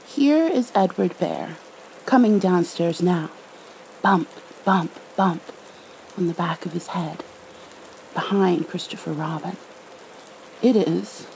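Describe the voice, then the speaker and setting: A woman with a soft, mellow voice and a gravelly fry, speaking slowly and tenderly in an ASMR bedtime style. Faint rain sits behind her hushed words. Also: fairly low pitch, poor audio. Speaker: ASMR roleplayer, storybook reader. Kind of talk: ASMR roleplay, soothing bedtime reading. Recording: faint rain-like background noise.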